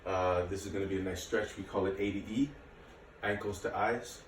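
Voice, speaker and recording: Deep-voiced man, echoey distant recording. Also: steady tone, moderate pace. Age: late 30s. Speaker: martial arts and fitness instructor. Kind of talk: casual workout instruction to camera. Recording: echoey room, distant mic, faint noise.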